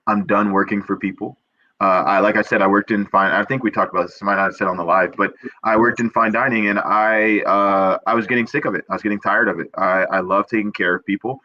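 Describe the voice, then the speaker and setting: A man's voice: fairly low pitch, sharp tone, no room sound, lo-fi sound. A young man, a perfumer guest on a video call, talking earnestly and eagerly, full of restarts and fillers. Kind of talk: casual interview chat.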